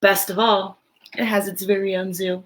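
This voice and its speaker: A woman reading a picture book aloud to children in an expressive storytelling way, with casual, chatty asides. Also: medium pitch, moderate pace.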